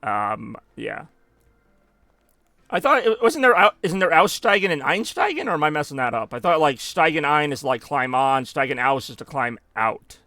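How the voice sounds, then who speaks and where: Bright-voiced man streaming a game. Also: fairly high pitch, very animated, moderate pace, no echo. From United States. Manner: rambling, self-mocking, drops in German phrases. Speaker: gaming streamer. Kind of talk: live gameplay commentary.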